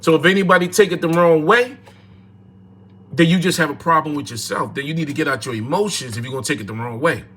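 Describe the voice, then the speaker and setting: A man's voice: on the high side, fast, fairly bright tone, slight background noise. A man, hosting a casual livestream, talking into his phone in a repetitive, preachy way and greeting chat viewers. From North New Jersey, USA. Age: 40s. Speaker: livestream host.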